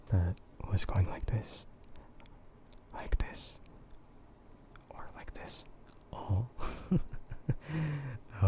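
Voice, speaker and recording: A young man streaming, talking in a soft, half-whispered voice, hesitant and full of fillers and quiet laughs. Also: deep voice, a little hiss or hum. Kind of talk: soft-spoken ASMR chat with viewers.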